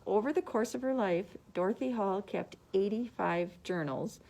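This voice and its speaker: A woman librarian presenting book picks, reading prepared summaries aloud in a measured, deliberate way. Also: mid-range voice, creaky, darkish tone, rough recording.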